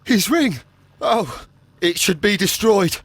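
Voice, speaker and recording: An actor playing a nervous, haunted councillor in a video game, stammering heavily in a hesitant, apologetic way. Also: male voice, sits high, expressive, takes it slowly, fairly bright tone, slightly rough, no room sound, heavily acted. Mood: fearful, agitated. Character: nervous, haunted, guilt-ridden male councillor and archaeology enthusiast. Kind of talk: scripted video game acting.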